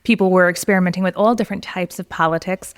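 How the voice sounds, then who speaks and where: A woman co-hosting a history discussion, explaining context in a measured, conversational way. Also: lowish voice, steady tone, clear, resonant tone. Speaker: podcast co-host.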